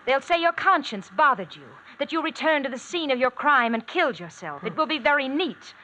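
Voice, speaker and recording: A cold-blooded, thrill-seeking wife in a vintage radio mystery. Her high, bright voice swoops theatrically as she coaxes and taunts her husband. The old recording carries some background noise. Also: big pitch swings, brisk, dull audio, exaggerated voice. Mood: coldly gloating. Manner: mocking, coaxing, calls husband dear and darling.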